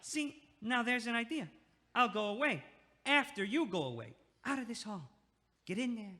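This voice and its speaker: A man's voice: very high voice, very animated, normal speed, pushed and bright, in a large hall, exaggerated voice. A man playing a crude, leering neighbor. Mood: taunting. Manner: crude, taunting, blustering. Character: crude, leering middle-aged male neighbor. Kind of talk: audio play acting.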